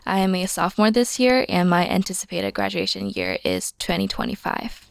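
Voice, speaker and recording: A woman in her twenties who grew up in Singapore, a student guest giving earnest, measured answers on a podcast. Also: lowish voice, huge pitch swings, vocal fry, no echo. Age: 20s.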